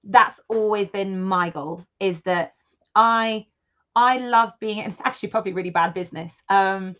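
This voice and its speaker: A woman's voice: mid-range voice, moderate pace, a bit bright, highs cut off. A woman from the United Kingdom, a studio co-founder, talking in an enthusiastic, chatty way as a guest in an interview. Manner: enthusiastic, chatty, with many fillers. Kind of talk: casual interview chat.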